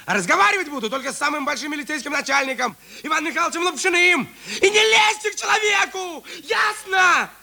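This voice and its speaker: An actor playing a defiant, bitter criminal in a radio drama, insolent and theatrical, with a raspy, gravelly voice. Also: male voice, extremely high pitch, lively intonation, quick pace, hardly any pauses, bright, forceful tone, heavily acted. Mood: angry, defiant. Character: defiant, bitter male criminal lying in hospital.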